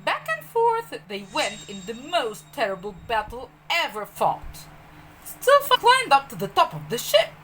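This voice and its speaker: Woman, children's storyteller, highly animated pitch, slow measured pace, expressive emphasis, faint background noise. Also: poor audio. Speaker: storyteller, teacher. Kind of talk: scripted children's storybook narration.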